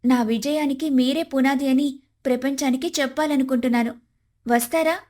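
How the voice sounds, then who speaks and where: A woman's voice: high-pitched, steady tone, normal speed, a little mellow, close to an everyday voice. A woman playing a respectful, devoted young daughter-in-law in a moral story, speaking in a heartfelt way. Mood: earnest, pleading. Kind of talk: dramatised moral story dialogue.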